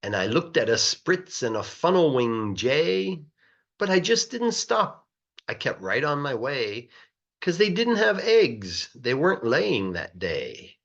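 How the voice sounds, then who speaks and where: A man reading a children's rhyming story aloud in a playful, rhythmic, expressive way, like a teacher. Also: mid-range voice, slow, dull audio. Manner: animated, playful, rhythmic verse delivery.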